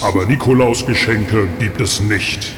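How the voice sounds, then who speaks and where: A man acts out a stern Saint Nicholas for an audio play. He speaks slowly and imposingly, an ominous dark stranger striking bargains. His lowish voice rings in long reverb over clear noise. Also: fairly even intonation, natural voice. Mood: bargaining. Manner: slow, imposing, deliberate.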